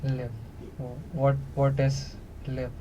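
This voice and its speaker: A man playing a bewildered, childlike man in an experimental radio play, asking basic questions in halting, stammered fragments. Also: medium pitch, completely flat delivery, mellow, close to an everyday voice. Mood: halting puzzlement. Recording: slightly noisy, muffled room recording.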